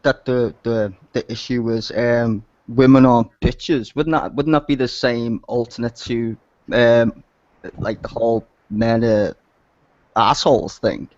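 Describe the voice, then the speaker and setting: A man in casual discussion, halting as he searches for his words. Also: medium pitch, fairly even intonation, a little mellow. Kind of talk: casual chat. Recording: dull lo-fi internet call audio.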